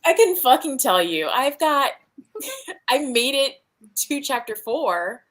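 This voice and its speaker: A woman hosting a casual book chat in an expressive, exasperated, sweary way. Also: high voice, takes it slowly. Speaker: host of a book reading livestream. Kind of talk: casual chat about books.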